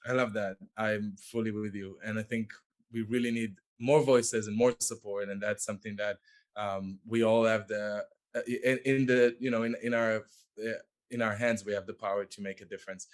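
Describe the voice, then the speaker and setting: A man hosting a conversational interview, forming his questions haltingly and repeating words as he goes. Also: fairly low pitch, steady tone. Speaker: show host, interviewer.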